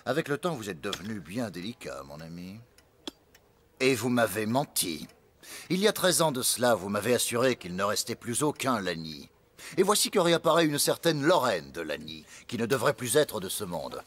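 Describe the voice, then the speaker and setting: An actor playing a ruthless aristocratic villain in a French cartoon, pompous, menacing and deliberate. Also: male voice, on the low side, big pitch swings, fairly bright tone. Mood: cold menace. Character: cold, ruthless aristocratic villain baron giving orders. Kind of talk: French animated drama acting.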